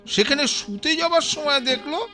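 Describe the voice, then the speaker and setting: An actor playing an older, learned man who muses about the afterlife, halting and repeating his words in a radio play. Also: extremely high pitch, expressive, pushed and bright, full character voice. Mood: musing. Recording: radio play with faint music.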